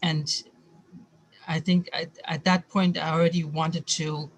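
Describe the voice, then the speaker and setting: Man from Chile raised in Germany, even-toned. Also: on the high side, a little slow, slight background noise, poor audio. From born in Chile, raised in Germany. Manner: thoughtful, with many fillers and restarts. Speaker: interview guest. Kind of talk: casual interview conversation.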